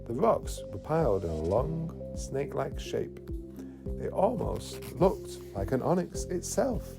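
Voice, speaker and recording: A man narrating a children's bedtime story in an expressive storytelling manner. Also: mid-range voice, measured pace, gravelly. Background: faint music.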